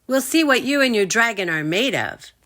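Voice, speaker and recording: A cocky storybook kid, played by a woman, brash and teasing. The voice is pitched up and punchy, with big swings as it brags. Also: big pitch swings, moderate pace, sharp tone. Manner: brash, taunting. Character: cocky, bragging, teasing child dragon trainer.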